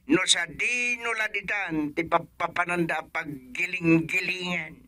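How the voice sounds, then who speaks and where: A bashful, complaining village man acted by a man in a radio comedy. His voice is very bright and slightly high, hesitant in delivery, recorded close and dry. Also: measured pace, dry recording. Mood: flustered. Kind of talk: comedy radio drama acting.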